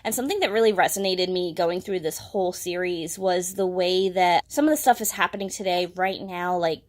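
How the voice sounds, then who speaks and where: A woman talking casually and enthusiastically to viewers as a book vlogger, stressing key words with emphasis. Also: medium pitch. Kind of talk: casual monthly reading wrap-up to camera.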